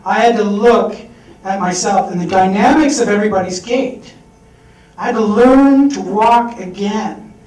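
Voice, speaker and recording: A man's voice: high voice, unhurried, darkish tone. A young man on stage at a fundraising event, telling a candid personal story and acting out the voices of people he quotes. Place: event hall with audience. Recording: echoey hall recorded from far off.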